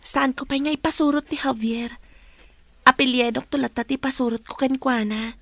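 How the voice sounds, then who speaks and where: A woman's voice: high-pitched, fairly even intonation, moderate pace, no room sound. An actress playing a resolute young mother-to-be in a radio drama. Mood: resolute, troubled.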